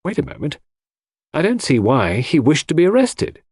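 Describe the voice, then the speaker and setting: A puzzled English gentleman in a mystery audiobook, played by a male narrator, grumbling and impatient as he questions his friend. The voice is slightly husky and jumps about in pitch, recorded dry. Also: huge pitch swings, normal speed, no echo, heavily acted. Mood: puzzled, curious.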